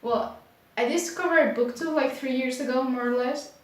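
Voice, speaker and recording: A young woman from Spain speaking English with a Spanish accent, chatting casually to camera in an unhurried way, full of fillers like "like". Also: sits high. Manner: unhurried, many filler likes, slightly unsure. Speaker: booktuber. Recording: very echoey room.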